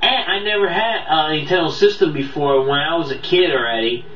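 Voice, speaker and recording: A man hosting a casual countdown review, talking off the cuff in a rambling, halting way that often loses its thread. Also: a little high. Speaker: video countdown host and reviewer. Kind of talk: casual unscripted review talk to viewers. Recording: roomy, distant, dull mic, faint noise.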